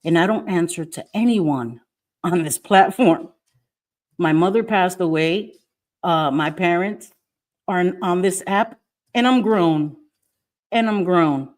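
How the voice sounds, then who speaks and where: A woman's voice: lowish voice, slow pace, long pauses. Emphatic, defiant middle-aged woman. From New York. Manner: emphatic, repeats phrases, defiant. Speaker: livestream host. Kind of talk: casual livestream chat, reading viewer comments. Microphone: webcam or phone mic, live stream.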